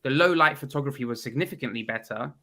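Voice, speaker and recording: A man's voice: mid-range voice, fast, bright, forceful tone. A man, a guest in a casual video call chat, talking bluntly and with strong opinions while stuffed up from a cold.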